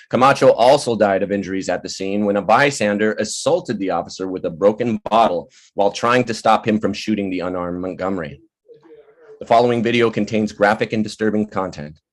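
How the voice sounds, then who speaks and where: A man playing a serious television news anchor in a table read, with formal, measured newsreader delivery. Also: lowish voice, close to an everyday voice.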